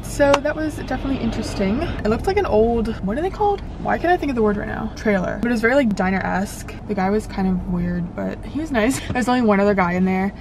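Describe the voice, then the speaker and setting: A woman's voice: mid-range voice, lively intonation, fast talker, few pauses, music in the background. A young woman in a parked car, talking casually to a dashboard camera while reviewing coffee shops, with lots of filler words. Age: young adult. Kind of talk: casual vlog chat.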